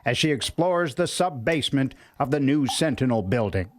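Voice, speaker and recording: A man's voice: medium pitch, lively intonation, normal speed. A man narrating a scripted story in the style of an old-time radio show. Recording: faint background noise.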